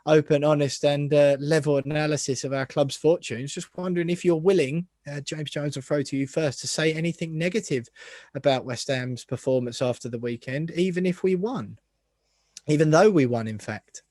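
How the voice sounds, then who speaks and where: A man's voice: fairly high pitch, normal speed, darkish tone, dry recording, clean studio sound. A man hosting a casual football podcast, teasing his guests with long-winded, wry questions. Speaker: podcast host.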